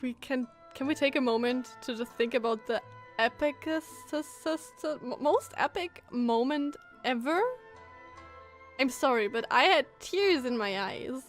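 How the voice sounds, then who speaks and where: A woman's voice: high voice, unhurried. A woman streamer giving casual, reactive game commentary, musing aloud as she plays. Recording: game music behind the voice. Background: game music.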